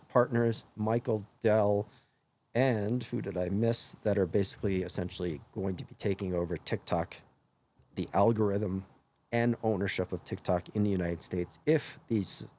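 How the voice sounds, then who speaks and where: A hoarse man hosting his own live stream, talking earnestly in a rambling way and often correcting himself mid-sentence. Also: lowish voice, steady tone, normal speed, mellow. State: hoarse after illness. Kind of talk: casual live-stream monologue.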